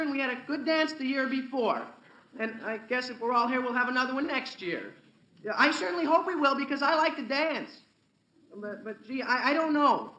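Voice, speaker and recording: An actor playing an earnest, scheming, awkward teenage schoolboy in old radio comedy style, with a hesitant, stammering delivery. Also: male voice, extremely high pitch, bright, forceful tone, lo-fi sound, exaggerated voice. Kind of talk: 1940s radio comedy acting. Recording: roomy old-time radio recording, faint hiss.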